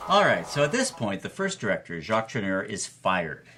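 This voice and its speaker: A man's voice: on the low side, very animated, moderate pace, music in the background. A man hosting as a storyteller, narrating with wry, emphatic delivery and punchy repetition. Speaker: podcast host, film club organizer.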